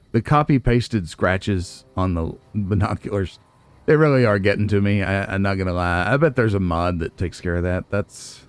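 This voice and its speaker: Low-pitched man doing casual game commentary. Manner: musing, nitpicking, talks to himself. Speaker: let's play host.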